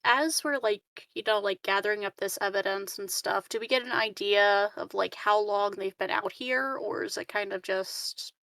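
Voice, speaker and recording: Creaky-voiced woman at a tabletop game. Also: mid-range voice, normal speed, hardly any pauses, sharp tone, no echo. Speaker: tabletop role-play player. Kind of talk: casual in-game chat.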